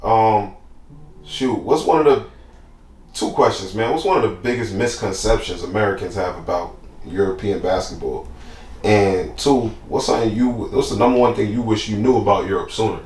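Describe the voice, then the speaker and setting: Male interviewer, lowish voice. Casual and slangy, picked up from off-mic in an echoey room. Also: moderate pace, darkish tone. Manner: slangy, informal. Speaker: interviewer, host. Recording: roomy, distant mic with background noise.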